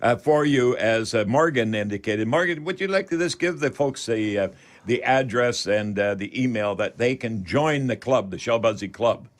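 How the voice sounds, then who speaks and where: A man's voice: mid-range voice, lively intonation, moderate pace. An older man with a Canadian accent hosting a chatty radio call-in show in a folksy, rambling manner.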